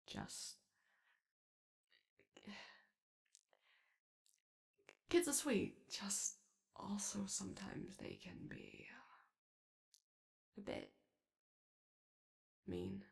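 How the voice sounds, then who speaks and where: Woman voicing a strained, creaky, anxious robot guy. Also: a bass voice, wildly swooping pitch, very slow, long pauses, exaggerated voice. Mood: insecure, wistful. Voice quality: strained, raspy, creaky, as if voice lost from yelling. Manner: hesitant, nervous, stammering, apologetic. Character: anxious, gentle male animatronic daycare robot with strained voice. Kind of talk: ASMR roleplay comfort audio.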